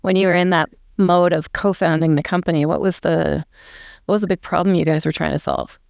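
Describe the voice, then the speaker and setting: Low gravelly woman hosting. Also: steady tone, brisk, a little mellow, no room sound, clean studio sound. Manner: friendly, conversational, with fillers. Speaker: podcast host. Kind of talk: podcast hosting.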